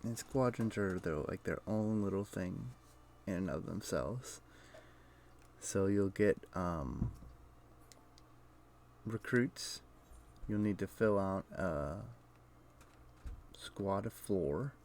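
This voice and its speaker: A man casually commentating live gameplay for viewers, halting and sometimes muttering to himself as he explains. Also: sits low, darkish tone, slight background noise. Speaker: game streamer.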